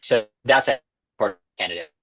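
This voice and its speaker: A man's voice: fairly low pitch, fast talker, fairly bright tone, slightly rough. A young man giving structured, informal explanations as an expert guest on a webinar, speaking over a video call. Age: young adult. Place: indoors against a dark backdrop, on a video call. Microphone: wireless earbuds on a video call.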